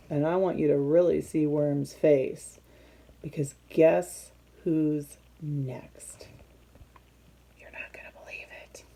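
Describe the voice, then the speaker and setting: A woman reading a picture book aloud to young children, expressive and teacherly, pausing to put questions to her listeners. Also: fairly low pitch.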